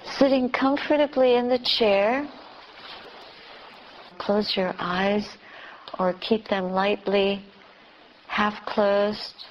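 Slow-paced woman guiding a meditation. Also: medium pitch, muffled recording, slight background noise. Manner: calm, gentle instructions with long pauses. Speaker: Buddhist nun teaching meditation. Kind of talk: guided meditation for beginners.

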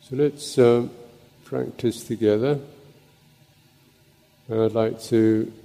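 A man teaching as a Buddhist monk, leading a guided meditation in a contemplative, halting way with pauses between short phrases. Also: medium pitch, steady tone, creaky, mellow. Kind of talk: guided meditation and Dhamma talk. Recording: long reverberant hall echo.

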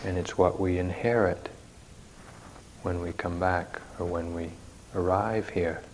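A man leading a guided meditation as a teacher, speaking in measured short phrases with long pauses, recorded live at a retreat. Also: sits low, fairly even intonation, darkish tone, a little hiss or hum, rough recording.

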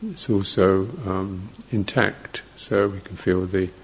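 Low, slow-spoken man guiding meditation. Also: steady tone, vocal fry, slightly rough. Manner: unhurried, gentle phrasing with long pauses between short fragments. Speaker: meditation teacher. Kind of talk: guided meditation instruction.

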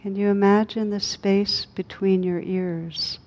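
A woman leading a guided meditation as a teacher, speaking calmly and unhurriedly with long pauses. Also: mid-range voice. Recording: dull, lo-fi recording.

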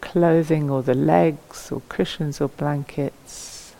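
A woman leading a guided meditation as a teacher, speaking gently and leaving long pauses between phrases. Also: low-pitched, steady tone, vocal fry, a little hiss or hum, poor audio.

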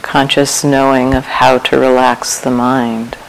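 A woman meditation teacher leading a guided meditation in a calm, measured way, with long pauses between short phrases. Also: rumbling low, creaky, a little hiss or hum, poor audio.

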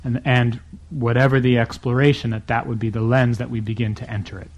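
A man's voice: medium pitch, flat delivery, brisk. A man co-teaching a workshop, offering a brief, tentative framing comment with some restarts. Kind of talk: framing remarks in a live workshop.

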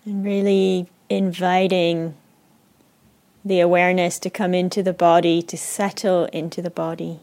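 A woman with an Israeli accent guides a meditation in a slow, soft, unhurried voice. Her tone sits fairly low and stays flat and even, with long pauses between phrases. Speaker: meditation teacher.

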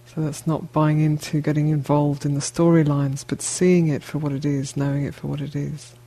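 A woman teaching a guided meditation, speaking gently and unhurriedly in short phrases with long pauses between them. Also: sits low, flat delivery.

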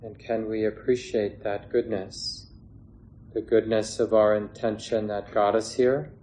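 Guy guiding a meditation, really slow and even, short gentle phrases, some room noise behind him. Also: fairly low pitch. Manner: gentle, unhurried, with long pauses between short phrases. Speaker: meditation teacher. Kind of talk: guided meditation. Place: meditation hall with a gathered group. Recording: clear background noise, room ambience.

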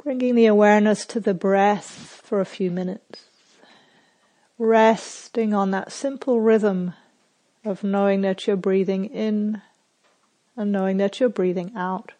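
A woman meditation teacher leading a guided meditation, speaking gently in short phrases with long pauses between them. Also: medium pitch, steady tone, rough recording.

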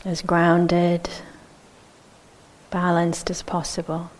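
Very slow, low-voiced woman guiding meditation. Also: completely flat delivery, rough recording. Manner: measured, gentle, with long pauses. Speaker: meditation teacher. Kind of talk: guided meditation instructions.

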